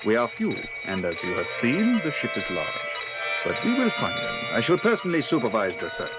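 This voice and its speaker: An actor playing a courteous, persuasive alien starship commander, measured and grand, in an audio drama. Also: male voice, mid-range voice, wildly swooping pitch. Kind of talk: 1970s audio drama acting. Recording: loud music behind the voice.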